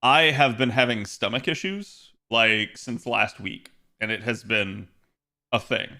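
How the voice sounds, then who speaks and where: A man live-streaming from home with a bright, forward voice that is roughened by hoarseness. He rambles through loose, chatty talk full of fillers and restarts. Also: medium pitch, unhurried. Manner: rambling, self-correcting, many fillers. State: hoarse, slightly raspy voice. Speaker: game streamer. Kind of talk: casual live-stream chat, talking to viewers.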